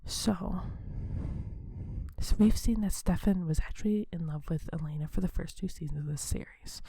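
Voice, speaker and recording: A woman hosting an ASMR video in a soft, breathy whisper, talking in a hushed, unhurried, conversational way. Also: fairly low pitch, dry recording.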